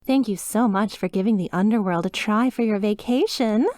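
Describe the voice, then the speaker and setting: A woman's voice: medium pitch, moderate pace, darkish tone, dry recording, clean studio sound, natural voice. A woman voicing a chipper, sweetly reassuring demon resort receptionist with a polished, over-polite customer service voice in a scripted ASMR roleplay. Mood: bright, welcoming.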